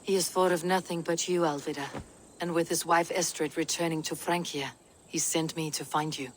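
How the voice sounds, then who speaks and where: A woman playing a steadfast Viking warrior leader in a video game, measured, authoritative and direct. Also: low voice, steady tone, a little hiss or hum, natural voice. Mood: gentle, persuasive. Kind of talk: video game cutscene acting.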